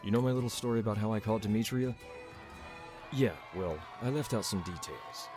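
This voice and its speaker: A man playing a calm, fatherly bartender and former royal guard in a fantasy audio drama, measured and easygoing. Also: fairly low pitch, over music, close to an everyday voice. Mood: steady, serious. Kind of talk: amateur fantasy audio roleplay acting.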